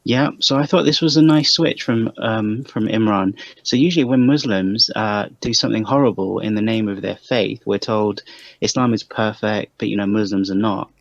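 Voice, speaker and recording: Gravelly man, dry dull recording. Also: on the low side, moderate pace, no echo. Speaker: panelist on a commentary show. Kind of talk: casual critical commentary. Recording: dry, slightly dull lo-fi microphone.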